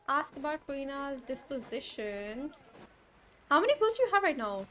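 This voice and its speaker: A woman's voice: high-pitched, sing-song, a little slow, loud music behind the voice. A woman streamer giving casual live reactions while playing a game.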